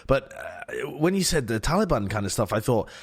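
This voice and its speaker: A man's voice: medium pitch, very animated, normal speed, gravelly, husky. A man co-hosting a podcast, reacting to a story with quizzical questions. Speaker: podcast co-host. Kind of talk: casual chat.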